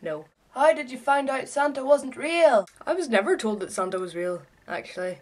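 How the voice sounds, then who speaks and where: A woman's voice: high-pitched, big pitch swings, normal speed, a little mellow. Young woman chatting offhandedly to camera. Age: young adult. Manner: irreverent, offhand, sweary. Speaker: vlogger. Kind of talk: casual chatty vlog to camera. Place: small bedroom, indoors.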